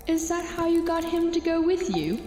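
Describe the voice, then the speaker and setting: A woman's voice: extremely high pitch, sing-song, moderate pace, warm tone. A woman playing a vengeful possessed girl in a horror audio drama, speaking in a cold, pointed, accusatory manner. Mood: accusing, bitter. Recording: echoey reverberant hall with music.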